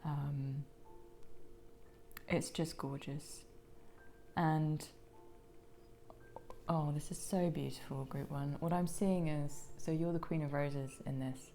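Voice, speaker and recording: A woman with a croaky voice giving a gentle pick-a-card tarot reading, slipping into soft whispers now and then. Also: sits low, fairly even intonation, slow pace, pauses often, faint music behind. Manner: gentle, soothing, drops into whispers.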